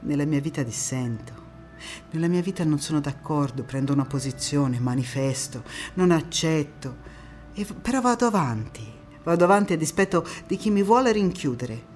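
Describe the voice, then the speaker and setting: A woman acting a defiant, idealistic partisan turned politician in an emphatic, deliberate theatrical monologue. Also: deep voice, animated, darkish tone, faint music behind. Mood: resolute.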